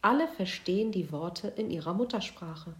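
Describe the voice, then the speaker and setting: A woman narrating a children's Bible story expressively, moving between hushed and exclaiming. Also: fairly low pitch, lively intonation, moderate pace.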